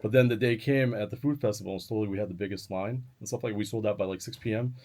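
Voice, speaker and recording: A man who owns a burger restaurant, talking as a guest in a casual interview, full of "like" and quick restarts. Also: fairly low pitch, a little mellow. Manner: quick, filler-heavy talk with repeated likes.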